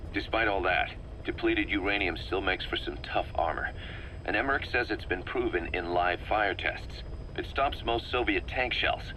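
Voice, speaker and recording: Low, forceful military deputy. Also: male voice, slight background noise, low-quality recording. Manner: explanatory, matter-of-fact. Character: serious, forceful military second-in-command. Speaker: military deputy briefing his boss. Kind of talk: video game voice acting, briefing.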